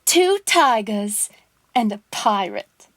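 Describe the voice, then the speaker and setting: A woman's voice: on the high side, big pitch swings, slow pace, no room sound, exaggerated voice. A woman playing a proud, carefree boy who refuses to grow up, in an audio drama. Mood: boastful.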